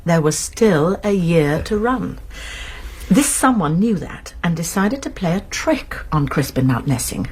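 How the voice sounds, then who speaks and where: A woman's voice: lowish voice, very animated, measured pace, a little mellow, breathy edge, full character voice. An actress playing a shrewd British woman amateur sleuth in a radio drama, explaining things precisely and earnestly with small stammers.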